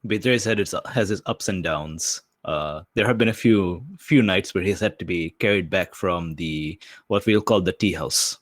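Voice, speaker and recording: A man's voice: low voice, normal speed, hardly any pauses, no echo, clean studio sound. A man chatting casually as a player in a tabletop game.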